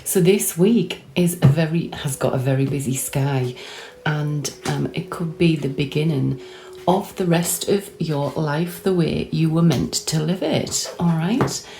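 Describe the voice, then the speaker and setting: Low mellow lady doing a tarot reading, voice kinda hoarse, chatty and rambly as she flips cards. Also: measured pace, faint music behind, rough recording. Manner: chatty, thinking aloud while pulling cards, with restarts. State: hoarse, recovering lost voice. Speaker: tarot reader. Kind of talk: casual tarot card reading.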